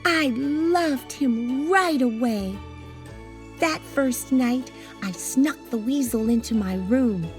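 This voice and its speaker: A woman reading a first-person children's story aloud in an expressive, scripted storytelling style, with tinkling music behind her. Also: high voice, takes it slowly. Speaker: storybook reader.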